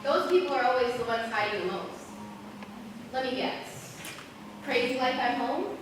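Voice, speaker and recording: Very echoey and noisy, this is a young woman playing a curious, wry forest wanderer on stage. Her high voice reaches the mic from a distance, with the manner of a school drama. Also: lively intonation, a little slow. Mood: friendly, probing. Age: teens or young adult. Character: curious, wry, searching girl who comforts forest visitors. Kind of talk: school stage play acting.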